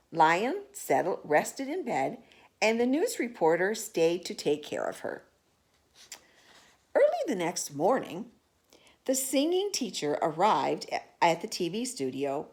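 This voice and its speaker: Slow, animated woman reading to children. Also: medium pitch. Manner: expressive, asks listeners questions about pictures. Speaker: storyteller. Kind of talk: children's picture-book read-aloud.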